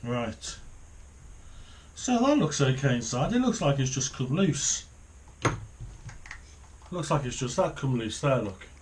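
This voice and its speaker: A man's voice: mid-range voice, sing-song, takes it slowly, long pauses, a little mellow, a little hiss or hum. A middle-aged man giving a casual, unscripted hands-on commentary, partly muttering to himself as he works. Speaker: hobbyist presenter of a radio repair video. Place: small home office, indoors.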